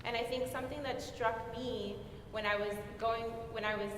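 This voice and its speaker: A woman's voice: fairly even intonation, normal speed. A woman explaining her work as a nurse on a discussion panel. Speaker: panelist, former public health nurse. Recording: very echoey hall, rumbling background noise.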